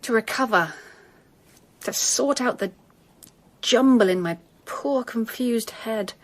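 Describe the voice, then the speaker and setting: A sorrowful, restrained Victorian gentlewoman. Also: a little high, takes it slowly. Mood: earnest, torn. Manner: earnest, restrained, polite. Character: grieving gentle young Victorian gentlewoman turned governess. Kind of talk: period radio drama acting.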